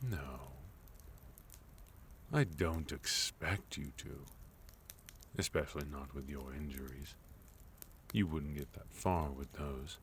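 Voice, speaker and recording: A man playing a sophisticated villain leader in an ASMR roleplay, speaking formally and politely with measured pauses and quiet menace. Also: a bass voice, slight background noise, heavily acted. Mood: calmly guarded. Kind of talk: ASMR roleplay, intimate second-person acting.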